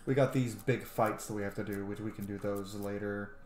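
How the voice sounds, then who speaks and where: A man's voice: lowish voice, steady tone, fairly quick, a little mellow. A man giving casual, rambling let's-play commentary, gushing and swearing freely as he goes. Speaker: gaming streamer playing and commenting. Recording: roomy echo with faint background noise.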